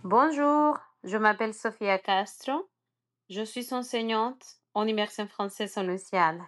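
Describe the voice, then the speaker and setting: A woman's voice: mid-range voice, measured pace. Honduran teacher lady, spanish native, accent with spanish and english traces, earnest encouraging talk. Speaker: immersion teacher. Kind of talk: prepared personal message.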